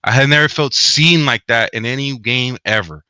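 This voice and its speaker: A man's voice: medium pitch, normal speed, smooth, no echo. A man whose first language is Spanish, answering interview questions earnestly and often tagging his points with "right?". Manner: earnest, animated, frequent "right?" tags. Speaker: guest author. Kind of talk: podcast interview.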